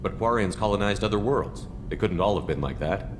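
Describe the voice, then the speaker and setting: An actor playing a serious military space commander in a video game, with a matter-of-fact manner. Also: male voice, lowish voice, animated, a little fast, noisy background, close to an everyday voice. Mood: probing, serious.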